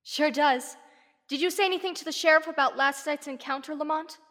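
A woman's voice: sits high, a little fast, fairly bright tone. A woman playing an investigator's nervous, loyal companion in an old-time radio drama, with earnest delivery. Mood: curious. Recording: long reverb with music behind.

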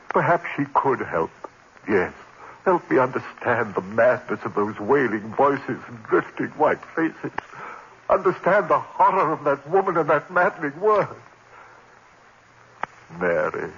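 A tormented lecturer who narrates with brooding intensity, his tone bright and cutting. It sounds like a classic radio drama, muffled with a faint hiss. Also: mid-range voice. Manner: intense, brooding, breaking into frantic outbursts. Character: haunted lecturer driven to madness. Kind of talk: 1940s radio acting.

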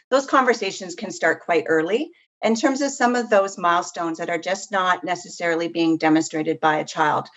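Woman, lowish voice, measured and earnest expert guest, slightly muffled audio. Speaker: podcast guest, literacy program professional. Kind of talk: explanatory interview answers.

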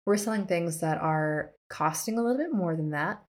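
A woman's voice: lowish voice, moderate pace. A woman hosting an art business podcast, talking casually to listeners with self-deprecating humor and upbeat emphasis. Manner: chatty, laughs at herself, upbeat emphasis. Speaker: podcast host and art educator. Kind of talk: casual teaching monologue.

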